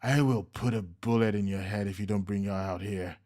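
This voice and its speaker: A man voicing a desperate, furious army captain who shouts with a strained, raw voice. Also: fairly low pitch, fairly even intonation, brisk, lightly acted. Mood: angry.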